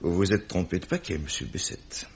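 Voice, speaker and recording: A wry police commissioner in a 1950s radio mystery. He talks in a low, richly inflected voice with courteous irony. The recording sounds muffled and old. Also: lively intonation. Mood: explanatory. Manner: measured, ironic, courteous, eloquent. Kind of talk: 1950s French radio drama acting. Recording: dull vintage radio recording.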